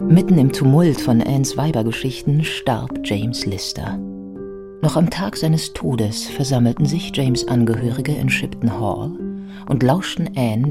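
A woman narrating a biographical radio play from a script in a measured, factual manner. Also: a bass voice. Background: faint music.

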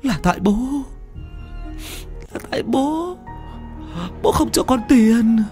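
A deranged, money-mad young son, ranting in a shrill, swooping voice and laughing between threats. At other times he drops into a low, menacing snarl. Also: expressive, takes it slowly, darkish tone, husky, soft background music, heavily acted. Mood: manic. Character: unhinged greedy young son, murderous.

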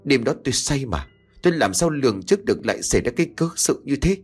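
Man playing a bossy old patriarch, gruff, barking, short-tempered, lively pitch, quick angry bursts. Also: soft background music.

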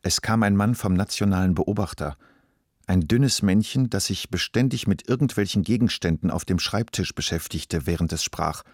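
A man's voice: low-pitched, normal speed, darkish tone, dry recording, studio quality. A man reading scripted literary narration as the narrator of a radio play.

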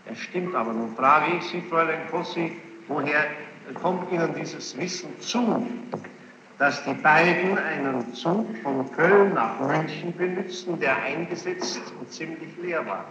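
A man questioning a witness as a judge in court, formal and insistent, halting and repeating himself as he builds each question. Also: a little high. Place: large echoing courtroom. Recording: noisy muffled archival courtroom tape, echoing hall.

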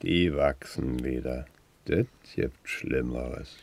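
An actor in a radio play playing a gruff, blunt man with a laconic, sardonic manner. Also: rumbling low, slow pace, a little mellow, heavily acted. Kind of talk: German radio play acting.

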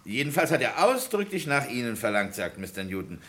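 An actor playing a chummy, teasing lawyer colleague in an old radio crime play. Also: male voice, medium pitch, very animated, brisk, pushed and bright. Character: jovial, joshing lawyer colleague, middle-aged man. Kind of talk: 1950s-80s German radio crime play acting.

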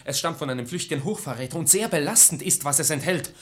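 An actor playing a loyal, earnest young suitor, speaking urgently in a verse radio play. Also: male voice, fairly high pitch, lively intonation, quick pace, close to an everyday voice. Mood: urgent, anxious.

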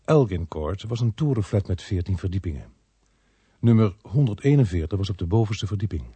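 Dark, very husky male narrator. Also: on the low side, animated, fairly quick, dry recording. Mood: dry storytelling. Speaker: narrator of the play. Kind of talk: scripted first-person radio drama narration.

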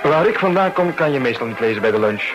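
A man's voice: medium pitch, lively intonation, fast talker, highs cut off, over music, lightly acted. An actor playing an easygoing, chatty American businessman on holiday in a radio play. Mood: affable.

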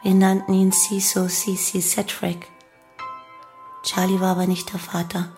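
Cult disciple for radio drama, played by a woman: mid-pitched, calm, unquestioning, soft music underneath. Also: unhurried, lightly acted. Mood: devoted, calm. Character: devoted female cult follower recalling her guru's teachings. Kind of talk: German radio play monologue.

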